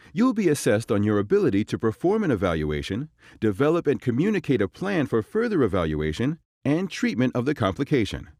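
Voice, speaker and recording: A man narrating a scripted instructional video in a clear, measured, explanatory way. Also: mid-range voice, darkish tone, no echo, studio quality.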